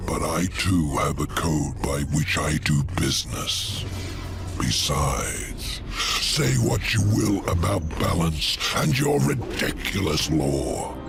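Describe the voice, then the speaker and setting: Ancient demon lord, video game, gravelly, unhurried, sardonic, theatrical menace. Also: male voice, very deep voice, expressive, exaggerated voice. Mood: wry, knowing. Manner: theatrical, taunting, deliberate. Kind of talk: video game cutscene acting. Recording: music behind the voice.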